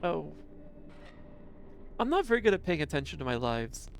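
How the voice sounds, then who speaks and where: A man with a mixed accent casually commentating his card game as a streamer, musing slowly and chuckling to himself. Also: fairly high pitch, big pitch swings, gravelly, slight background noise.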